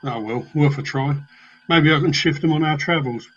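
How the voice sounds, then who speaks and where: Man playing a cheeky spiv, slightly high voice. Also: normal speed, fairly bright tone, husky, dull audio, soft background music. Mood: chancing, breezy. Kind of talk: amateur comedy radio play.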